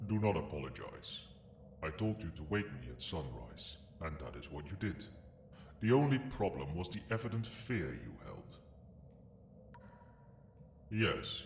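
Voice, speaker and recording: A man voicing a proud, possessive dragon with formal, haughty, measured speech in an ASMR roleplay. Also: rumbling low, a little hiss or hum, heavily acted. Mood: stern, reassuring. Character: proud, imperious male dragon, possessive but grudgingly protective.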